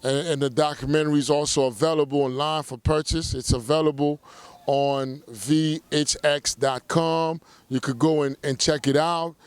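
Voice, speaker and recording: Smooth, higher-pitched man. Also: fairly even intonation, normal speed, no room sound. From Haiti, raised in Brooklyn, New York. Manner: conversational, emphatic, repeats check-in tags. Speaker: documentary filmmaker. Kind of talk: casual promotional talk to camera.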